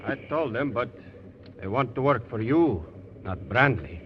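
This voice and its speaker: A man playing a gruff old-timer tunnel worker. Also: mid-range voice, animated, a little slow, lightly acted. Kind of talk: 1940s radio acting. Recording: dull, faintly hissy old radio recording.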